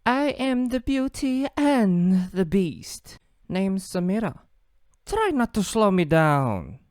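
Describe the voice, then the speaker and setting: A man's voice: sits high, sing-song, slow, a little mellow, no room sound, studio quality, voice pushed up, heavily acted. A man voicing a confident adult female fighter, cool, proud and self-assured.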